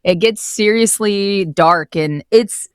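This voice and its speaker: A woman's voice: medium pitch, slow, clear, resonant tone, no room sound, studio quality. A woman speaking casually and effusively as a guest in an interview. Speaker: guest, voice actor who narrated the project.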